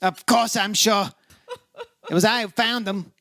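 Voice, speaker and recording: Man acting a bright, high-pitched radio detective. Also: unhurried, gravelly, dry recording, studio quality, exaggerated voice. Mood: pressing, firm. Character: brisk, insistent male insurance investigator and narrator. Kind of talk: old-time radio detective drama acting.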